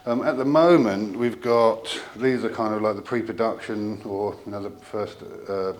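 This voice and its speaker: A man giving an informal technical product explanation as a company representative, in a hesitant, halting way with trailing asides. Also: fairly low pitch, steady tone.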